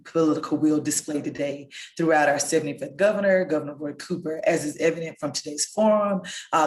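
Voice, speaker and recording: A hoarse woman, a local elected official, giving an impassioned, rallying speech over a video call. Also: low-pitched. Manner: impassioned, rallying, direct appeals to listeners. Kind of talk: rousing speech. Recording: echoey room sound.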